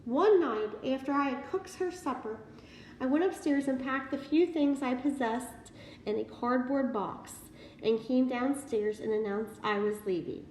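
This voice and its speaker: A woman voicing a gentle young schoolteacher who talks in an earnest, confiding way in a storybook reading. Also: sits high, a little slow. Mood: confiding, proud. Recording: roomy, distant mic, faint noise.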